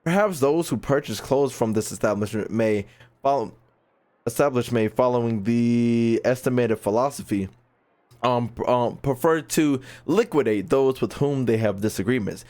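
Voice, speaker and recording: A man's voice: medium pitch, moderate pace, darkish tone. A man doing casual gaming commentary, reading dialogue aloud and joking in a slangy way. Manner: slangy, jokey. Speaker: gaming YouTuber.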